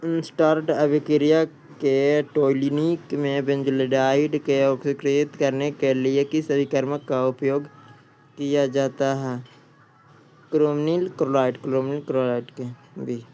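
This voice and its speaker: A male teacher works through exam practice questions and their answers, often saying a question twice. His delivery is slow and quite monotone, at a middling pitch. Some noise sits behind the voice. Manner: repeats questions before answering. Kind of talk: exam quiz lesson, reading questions and answers.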